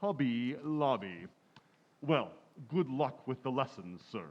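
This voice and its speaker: A man's voice: mid-range voice, expressive, slow, lo-fi sound, noisy background. A man acting a polite, formal music store salesman in an old-time radio comedy. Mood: courteous, obliging. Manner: formal, deferential salesman patter. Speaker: amateur actor in a community playhouse radio recreation.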